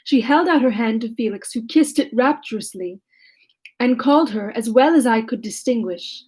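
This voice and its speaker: A woman reading aloud as a lonely male creature who tells his own story in a measured, formal way. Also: on the high side, animated, a little mellow, slightly rough. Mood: earnest. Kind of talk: reading a classic novel aloud.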